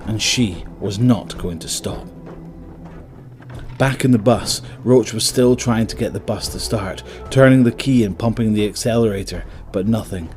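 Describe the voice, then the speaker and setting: Low-voiced man narrating a story. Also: normal speed, a little mellow, soft background music. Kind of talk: scripted dramatic story narration.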